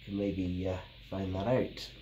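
Scottish tech vlogger with a cold, low voice, nasal, roomy home-office sound with faint noise. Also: male voice, level tone, a little slow, mellow, lo-fi sound, soft background music. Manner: rambling, with many ums and restarts. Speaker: tech video host. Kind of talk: casual unboxing and review. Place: small home office, indoors. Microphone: camera mic at the desk.

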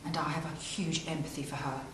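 A woman's voice: low-pitched, steady tone, a little fast, far from the mic, a little hiss or hum. A middle-aged woman guiding visitors around a castle hall, speaking earnestly and emphatically to the camera. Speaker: castle guide. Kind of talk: guided tour talk to camera. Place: castle great hall, indoors.

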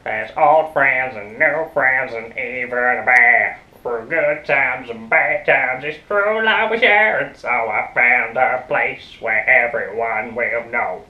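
Man doing a soft-spoken cartoon neighbour. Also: medium pitch, slow, few pauses, echoey room, dull audio, close to an everyday voice. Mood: mellow. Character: mild-mannered male cartoon neighbour.

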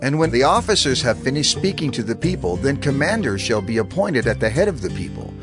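A man narrating scripture in a measured, formal way for an audio drama. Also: medium pitch, very animated. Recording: studio narration over music.

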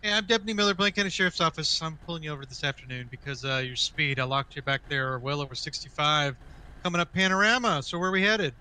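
A man's voice: on the high side, quick pace, pushed and bright, smooth. A man roleplaying a sheriff's deputy at a traffic stop, polite and businesslike, speaking over voice chat. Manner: polite, businesslike officer talk.

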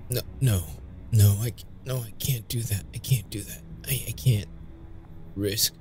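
A man's voice: fairly low pitch, fairly even intonation, darkish tone, faint music behind, close to an everyday voice. A man playing a young wounded vampire, secretive and brooding, in an intimate, hesitant audio roleplay style. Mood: flustered, defensive. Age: young adult. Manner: halting, hesitant, stammering when flustered.

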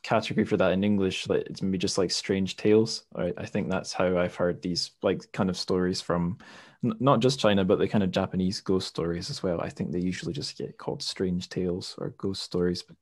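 A man's voice: low-pitched, fairly even intonation, brisk, darkish tone, dry recording, studio quality. A man hosting a podcast interview over a video call, casual and hesitant, with many fillers and restarts. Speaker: podcast host. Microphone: home mic on a video call.

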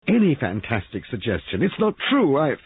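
A man's voice: fairly low pitch, sing-song, fairly quick, breathy edge, pitched above the performer's own voice. A man voicing an anxious, distraught young wife in an audiobook story, breathless and pleading, with stammers. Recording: narrow-band lo-fi radio-like sound.

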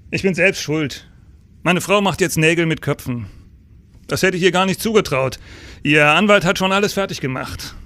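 An actor playing a weary, commanding middle-aged police chief in a radio crime drama, brisk with pensive hesitations. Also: male voice, a little high, fairly bright tone, no room sound, lightly acted. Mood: bitter, resigned.

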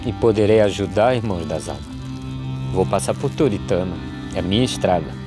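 A man playing a solemn, weary drought migrant, reciting measured verse in an animated film. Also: low-pitched. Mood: solemn, questioning. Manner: measured, solemn, rhythmic delivery with falling refrains. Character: solemn male drought migrant, questioning, weary, plain-spoken. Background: music.

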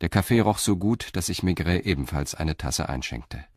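A man's voice: low-pitched, lively intonation, normal speed, darkish tone, no echo, clean studio sound. A man reading scripted narration for an audio drama.

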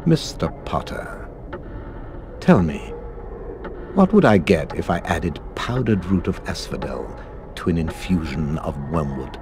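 A man playing a cold, middle-aged male potions professor in a video game, with a British accent and sarcastic, measured, formal speech. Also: deep voice, big pitch swings, over music, full character voice. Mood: cold, mocking.

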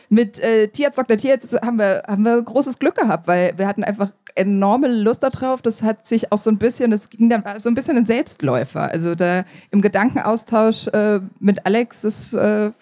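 A woman's voice: on the high side, moderate pace, darkish tone. A woman in her thirties chatting casually as a guest in a live interview, often interrupting herself. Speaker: podcast author being interviewed. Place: small stage at a book fair. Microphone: handheld microphone.

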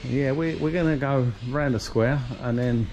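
A man giving a relaxed, chatty walking tour of an outdoor shopping plaza, talking while he walks with his camera. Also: mid-range voice, normal speed, highs cut off. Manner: relaxed, chatty, pointing things out. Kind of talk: casual walking tour narration. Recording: noisy outdoor street recording.

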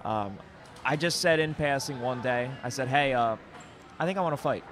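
A man's voice: medium pitch, normal speed, fairly bright tone, slight background noise. A young man co-hosting a fight podcast, chatting and telling stories in an informal, slangy way. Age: young adult. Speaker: podcast co-host, MMA fighter and coach. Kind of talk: casual fight recap and storytelling. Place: indoor event venue, at a table. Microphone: podcast microphone on boom arm. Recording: busy crowd behind the voice.

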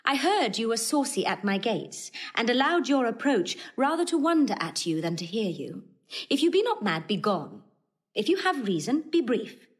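An actress playing a proud, witty young countess in classical Shakespearean style, imperious, arch and articulate. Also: female voice, fairly high pitch, very animated, moderate pace. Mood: haughty, brisk. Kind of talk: classical stage acting of Shakespeare.

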